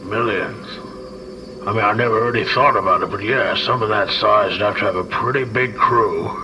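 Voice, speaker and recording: A man voicing a calm, agreeable starfighter pilot who talks matter-of-factly over a radio in a tabletop game. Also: on the low side, normal speed, noisy background. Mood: thoughtful. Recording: loud music behind the voice.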